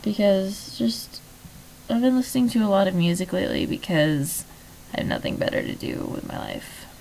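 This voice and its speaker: A young woman with a hoarse, fading voice, chatting in a rambling way and then reading a novel aloud from home on a webcam. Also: medium pitch, lively intonation, normal speed, a little mellow, a little hiss or hum, poor audio. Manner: rambling, chatty, many fillers. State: hoarse, losing voice. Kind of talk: casual vlog chat and book reading.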